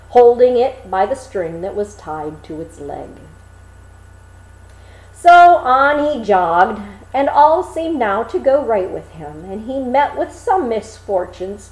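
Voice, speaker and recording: A woman reading a fairy tale aloud as an expressive storyteller, with an echoey room sound. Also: a little high. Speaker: storyteller, reader.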